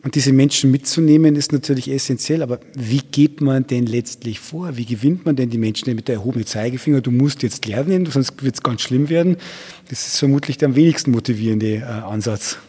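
A man's voice: mid-range voice, fairly quick, mellow, husky. A man hosting an interview, putting reflective thoughts and questions to his guest. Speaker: interviewer, host. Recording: long hall reverb.

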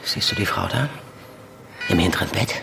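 A man's voice: sits low, moderate pace, vocal fry, over music. A man playing a stern, insistent police handler in an audio drama, pressing with short questions and commands in a controlled, probing way. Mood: commanding, grim. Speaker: actor in a fiction podcast. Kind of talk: naturalistic German audio drama.

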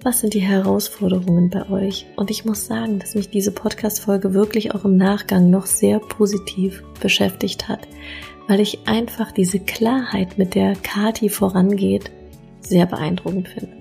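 A woman's voice: medium pitch, flat delivery, moderate pace, gravelly, dark tone, soft background music. A woman hosting a podcast, speaking with effusive enthusiasm as she introduces her guest. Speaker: podcast host.

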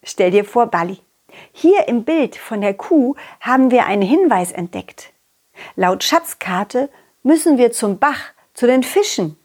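A confident, cheerful dog from a children's audio story, played by a woman. The voice sits fairly high and swings in pitch, with slow pacing. It is affectionate and encouraging, speaking straight to young listeners. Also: lively intonation, darkish tone, breathy edge. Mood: excited, friendly. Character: confident, loyal, cheerful dog telling children his adventures. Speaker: puppeteer hosting a children's audio story podcast.

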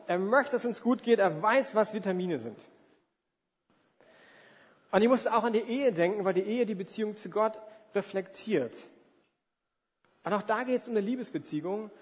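A man's voice: high-pitched, normal speed, long pauses. A man in his forties preaching in a conversational, emphatic way, with everyday examples and rhetorical questions. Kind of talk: sermon with everyday examples and acted-out asides. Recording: reverberant hall.

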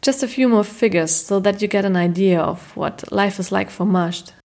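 A woman reading a scripted news narration in a measured, informative way. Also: medium pitch, a little mellow. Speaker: documentary narrator.

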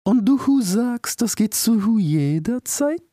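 A man voicing an old male turtle scholar who speaks very slowly and gravely. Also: very high voice, animated, mellow, no room sound, voice pushed up, heavily acted. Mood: solemn. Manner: very slow, measured, grave. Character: slow, solemn, aged male turtle scholar.

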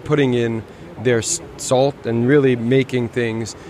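A man chats in a relaxed, rambling way about what he has seen at a motor show. His voice sits at an ordinary middle pitch. A faint hum of the show floor runs under his voice. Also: a little slow. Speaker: car show reviewer. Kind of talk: casual walkaround chat. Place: busy motor show hall.

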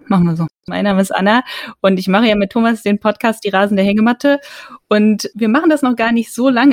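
A woman co-hosts a podcast and explains how it began in a casual, quick-moving way. Her voice is mid-pitched and recorded dry and close. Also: a little mellow, no echo. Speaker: podcast co-host.

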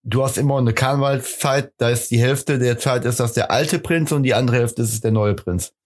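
A man with a mid-pitched voice trading casual banter on a podcast. He is dry and teasing, correcting his co-host. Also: steady tone, a little fast.

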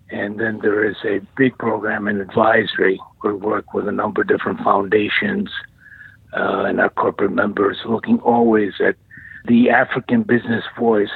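A man in his sixties, originally from the former Yugoslavia, answering radio interview questions in a measured way with frequent fillers and restarts. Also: on the low side, creaky, husky, no echo. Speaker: guest, nonprofit chief executive. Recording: tinny dry phone line.

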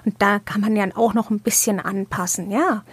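A woman's voice: mid-range voice, fairly even intonation, moderate pace, slightly rough, no room sound. A woman hosting a casual interview, putting questions to her guest in a conversational way. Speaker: interviewer, host.

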